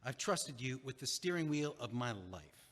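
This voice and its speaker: A man's voice: mid-range voice, lively intonation, moderate pace, fairly bright tone. An actor playing an older male friend, exasperated but caring, in an audio play. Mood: earnest, caring. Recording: echoey hall with long reverb.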